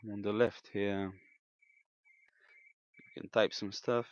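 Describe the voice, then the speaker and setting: A man giving an unscripted hands-on equipment demonstration as a hobbyist, thinking aloud in a hesitant, halting way. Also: lowish voice, little pitch movement. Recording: dull, lo-fi, echoey room sound.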